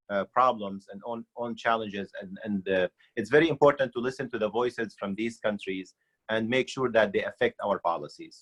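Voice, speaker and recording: A man's voice: on the low side, fairly bright tone, low-quality recording. A man from Syria with an Arabic accent, making earnest, unscripted panel remarks with hesitant starts.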